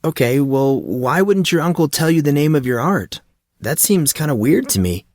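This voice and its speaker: A man's voice: fairly high pitch, lively intonation, moderate pace, a little mellow, no room sound, studio quality, voice pushed up, natural voice. A man doing a puzzled, guarded teen boy. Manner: questioning, evasive.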